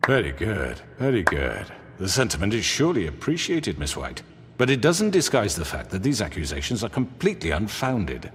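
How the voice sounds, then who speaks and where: Silky crime boss, low, echoing hall. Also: male voice, huge pitch swings, moderate pace. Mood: mock-polite. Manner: silky, theatrical, mock-polite oratory. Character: smooth-talking male crime boss defending himself before townsfolk. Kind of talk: video game voice acting. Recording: echoey hall reverb.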